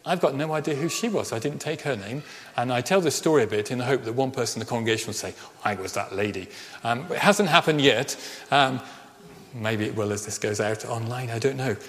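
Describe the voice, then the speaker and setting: A middle-aged male vicar with a Birmingham accent tinged by Welsh Ceredigion vowels, preaching in an earnest storytelling voice. His voice sits at an ordinary pitch, and he slows right down to stress key phrases. A long church reverb trails after each line. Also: animated, fairly bright tone. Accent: Birmingham with Welsh Ceredigion touches. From Birmingham, England. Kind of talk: sermon with personal story.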